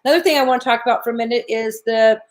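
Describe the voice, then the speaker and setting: A woman with a raspy voice hosting a spiritual talk show, chatting casually in an encouraging, chatty way. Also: on the high side, very fast. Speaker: show host.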